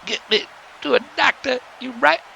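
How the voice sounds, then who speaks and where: A man's voice: very high-pitched, normal speed, bright, forceful tone, a little hiss or hum, heavily acted. A man voicing a cocky, whining bear pimp in a cartoon wrestling show, slangy and aggrieved. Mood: pained. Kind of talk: scripted wrestling cartoon acting. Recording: clear music over the voice.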